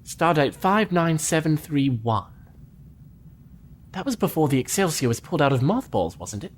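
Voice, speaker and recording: A man's voice: a little high, sing-song, moderate pace. A man playing an eager young male engineering lieutenant who answers his captain readily in a fan audio drama. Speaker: engineering officer.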